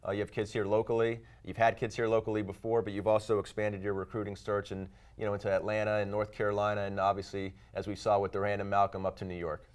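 A man's voice: on the low side, little pitch movement, fast talker, few pauses, clean tone. A man co-hosting a college sports show, asking the coach questions in a studio. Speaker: co-host. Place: TV studio set. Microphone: lapel mic.

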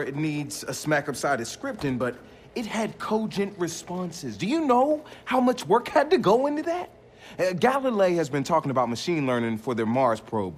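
An actor playing an upbeat young hacker in a game cutscene, speaking casual, slangy American English. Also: male voice, on the high side. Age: young adult. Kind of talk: video game cutscene acting.

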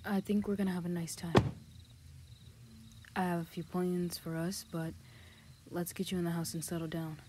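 A woman voicing a sarcastic teenage boy in a fan anime audio drama, deadpan and bossy as he drives. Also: lowish voice, moderate pace, long pauses, background noise, lightly acted. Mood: soft, coaxing. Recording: music playing under the voice.

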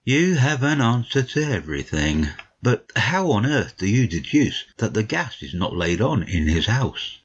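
A man playing an educated gentleman who narrates a detective story in a measured, literary way, on slightly lo-fi audio. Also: fairly low pitch, very animated, breathy edge, exaggerated voice. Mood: sceptical, amused.

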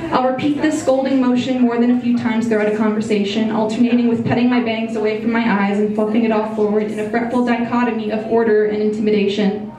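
Young woman reading her essay at a live reading, kinda high voice, super echoey room, a little off the mic. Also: little pitch movement, dark tone, recorded from a distance. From United States. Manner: measured, reflective reading from a phone. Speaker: essay reader. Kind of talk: reading a personal essay aloud. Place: small brick-walled bar venue, indoors. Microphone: stage mic on a stand.

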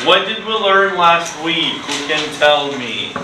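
A middle-aged man speaking as an English teacher, with deliberate, clearly enunciated delivery. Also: on the high side, animated, noisy background. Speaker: ESL teacher and video host. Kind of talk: presenting to camera and leading a children's class.

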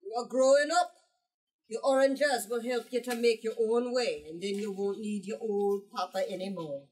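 A woman's voice: fairly high pitch, normal speed, darkish tone, slightly rough, distant mic, rough recording. A woman playing a fond, encouraging fisherman father in a storybook reading, with emphatic delivery. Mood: fond, proud.

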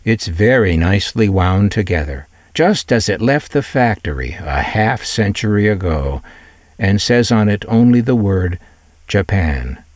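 A man's voice: fairly low pitch, expressive, unhurried, dry recording, studio quality. A man presenting a collector's showcase in scripted narration, with wry, conversational asides. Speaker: presenter of vintage radio collection videos.

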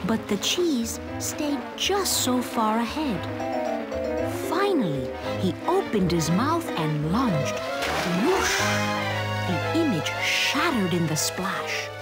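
A woman reads a children's story with expressive, sweeping intonation. She takes her time, deliberately pacing each phrase, while music plays strongly behind her. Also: a little high. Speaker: storybook narrator. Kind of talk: scripted children's story narration.

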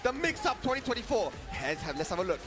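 A man commentating a fighting-game tournament, excitable and shouting at big moments, his voice worn from the weekend. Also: high-pitched, fast talker, over music. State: losing his voice. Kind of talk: esports play-by-play commentary.

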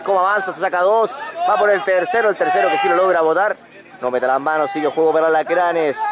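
Guy doing rugby play-by-play outside, bit high voice, gets excited on the runs, crowd noise behind. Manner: excitable play-by-play, rises on breaks. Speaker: rugby commentator. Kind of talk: live match commentary. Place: outdoors at a sports ground. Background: crowd and field noise.

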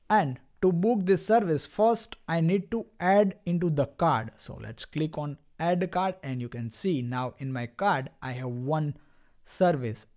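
A man with a slightly high voice leads a software tutorial. He talks through each step unscripted, stopping and starting as he explains. He speaks straight to the viewers as an instructor would. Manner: halting step-by-step explaining, often addressing viewers as guys. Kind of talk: screen-recorded software demo walkthrough, unscripted. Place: voice-over on a screen recording.

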